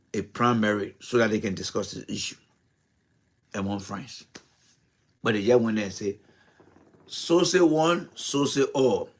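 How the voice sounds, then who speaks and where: A man's voice: mid-range voice, a bit bright. Impassioned man hosting a show. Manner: impassioned, rhetorical questions, repeats himself. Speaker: host. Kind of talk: political commentary monologue.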